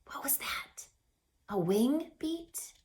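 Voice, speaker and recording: A woman reading a children's story aloud with dramatic expression, stretching words and dropping into hushed passages. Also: high voice, big pitch swings, takes it slowly, in a whisper. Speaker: storybook reader.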